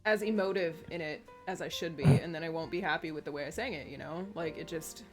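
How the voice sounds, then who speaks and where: A woman's voice: low-pitched, fast, faint music behind. A woman chatting casually and informally on a stream, rambling and swearing freely. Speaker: co-host of a live stream chat show. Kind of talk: casual chat.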